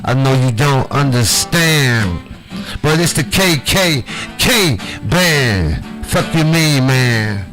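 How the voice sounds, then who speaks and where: A man's voice: mid-range voice, animated, takes it slowly, fairly bright tone, soft background music. A man from Chicago hosting a livestream show, talking in a loose, profane, swaggering way with street slang and a Chicago accent. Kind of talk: loose improvised banter.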